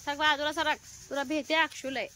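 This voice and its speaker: A woman's voice: high-pitched, level tone, fairly quick, sharp tone, a little hiss or hum. A woman with a rural Maharashtrian Marathi accent, chatting in a homely, colloquial way as she narrates a family vlog. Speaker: family vlogger. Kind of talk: casual home vlog, chatty cooking narration.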